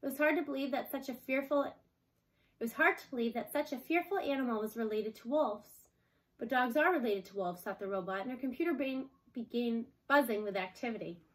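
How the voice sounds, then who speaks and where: A woman reading a children's book aloud as an expressive scripted narration. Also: fairly high pitch, a little fast, rough recording. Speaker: storybook reader.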